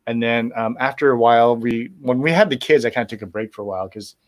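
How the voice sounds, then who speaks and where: A middle-aged man as a guest musician in a casual interview, talking in a relaxed, rambling way with many fillers and restarts. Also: mid-range voice, quick pace.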